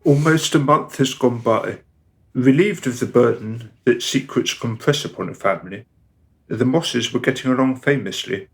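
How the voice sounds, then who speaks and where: A man playing the narrator of a radio play, telling the story in a measured, literary and wry manner. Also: mid-range voice, lightly acted.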